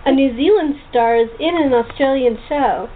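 A woman hosts a relaxed chat, often laughing and tripping over her words as she goes. Her voice sits a little high. It comes through a thin, phone-like line with a faint hiss behind it. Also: normal speed. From United States. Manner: chatty, laughs often, restarts sentences. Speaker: host. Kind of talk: casual chat over a call.

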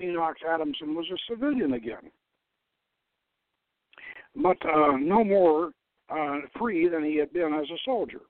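A man reads satirical fiction dramatically as a radio host. His voice sits a little high for a man, and his delivery halts and doubles back over phrases. It comes through a narrow telephone-like line with little room sound. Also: dry recording. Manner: halting, stumbles and rereads phrases. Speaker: radio show host, author reading own novel. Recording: thin phone-like radio line.

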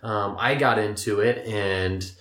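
A man's voice: fairly low pitch, steady tone, slow pace, fairly bright tone. An American man in his late thirties, chatting casually about himself on a podcast. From Ohio, United States. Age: late 30s. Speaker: podcast co-host and climber. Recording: roomy, echoing podcast recording.

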